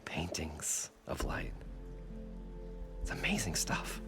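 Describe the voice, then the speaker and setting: An actor playing an eager, excitable inventor and dreamer in a video game, with a flowery showman's way of talking. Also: a bass voice, slow pace, gravelly, whispered, over music, exaggerated voice. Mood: awed, showy. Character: eager, showy male treasure-diving inventor and dreamer. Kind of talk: video game cutscene acting.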